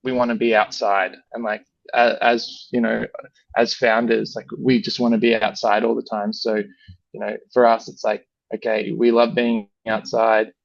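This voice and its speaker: An Australian man with a gravelly, creaky voice talks in a flat, even tone, loose and rambling, full of fillers. The sound is dry and a little muffled, as if close to a modest mic in a dead room. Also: fairly low pitch, moderate pace, no room sound. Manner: relaxed, rambling, heavy with fillers like "you know" and "like". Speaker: brand founder, guest. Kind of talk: casual interview chat.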